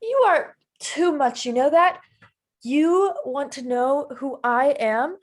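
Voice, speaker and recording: A woman's voice: high voice, lively intonation, slow. A woman reading the part of a fed-up teenage girl confronting her friends. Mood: indignant. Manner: indignant, confrontational. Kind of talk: table read of a teen drama.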